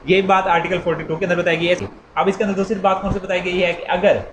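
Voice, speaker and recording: A man teaches in a conversational, repetitive way. His voice sits a little high. The room rings with echo, and there is clear noise behind him. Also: level tone, fast. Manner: repetitive, conversational teaching with acted-out everyday examples. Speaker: law lecturer. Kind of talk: informal explanatory lecture with illustrative examples.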